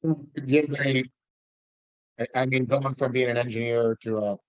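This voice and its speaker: A man hosting an interview on a video call, asking questions haltingly with many restarts. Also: mid-range voice, steady tone, no echo, dull audio. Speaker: host.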